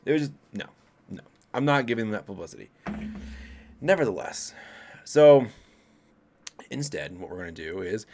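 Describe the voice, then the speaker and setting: A man in his late thirties from Colorado tells his ghost stories straight to camera with a somewhat low voice. He rambles, restarts his sentences and tosses asides to his viewers. The delivery is casual and unscripted. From Colorado, USA. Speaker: vlogger. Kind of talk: casual ghost story telling.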